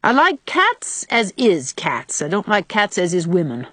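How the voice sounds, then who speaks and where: A man's voice: high-pitched, animated, moderate pace, fairly bright tone, no echo. A woman voicing a solemn, plain-spoken countryman with dry, folksy speech in an audiobook. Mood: solemn, cryptic. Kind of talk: audiobook character voice.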